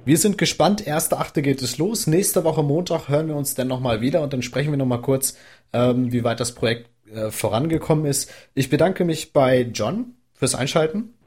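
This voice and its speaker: A man hosting a casual tech podcast, talking in a relaxed, chatty way with frequent filler sounds. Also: mid-range voice, moderate pace, breathy edge. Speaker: podcast host.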